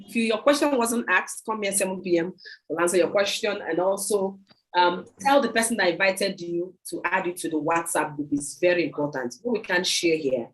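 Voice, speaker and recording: A woman hosting a video call meeting, giving an emphatic, repetitive sales pitch and taking questions. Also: low-quality recording. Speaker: host of a product sales meeting. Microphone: computer mic on a video call.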